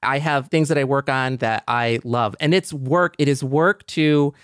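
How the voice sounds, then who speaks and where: A man's voice: mid-range voice, a little slow, fairly bright tone, clear, resonant tone, dry recording, clean studio sound. A man chatting casually as a podcast panelist, agreeable and a little hesitant in how he puts things. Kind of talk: casual conversation.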